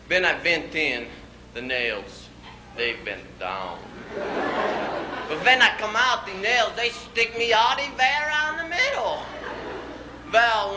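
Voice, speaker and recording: Man doing a high, animated farmer, echoey recording. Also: background noise. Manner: broken English with comically muddled grammar. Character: stout, hot-tempered immigrant farmer husband telling his mishaps. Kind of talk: comic dialect monologue.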